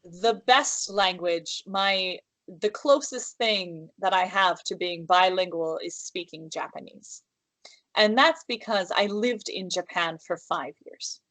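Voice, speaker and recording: A woman from the United States, an English teacher, speaks slowly and deliberately over a video call. Her pitch sits in the middle range, and the sound is dry and close. She phrases things carefully, often restarting and restating. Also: fairly bright tone, no room sound, poor audio. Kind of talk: interview answers. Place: room at home with calligraphy on the wall.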